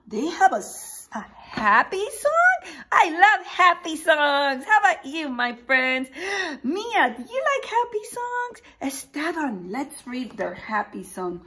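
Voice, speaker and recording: A woman leads an interactive story time for little children as their teacher. She speaks slowly, with big swoops of pitch and exaggerated delivery. She often puts questions to her listeners and waits for replies. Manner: animated, exaggerated, asking questions and pausing for answers. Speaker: preschool teacher. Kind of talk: interactive read-aloud for young children. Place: small indoor room with picture books behind.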